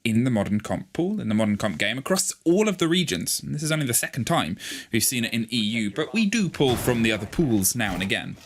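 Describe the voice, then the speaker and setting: A man's voice: medium pitch, sing-song, quick pace. A man casting a live esports match, play-by-play, rising in energy as the action builds.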